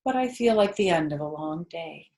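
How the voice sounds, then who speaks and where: A woman's voice: lowish voice, animated, normal speed, darkish tone, roomy sound. A woman voicing a wise, frank female spider, polite and precise, in a storybook reading. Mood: weary.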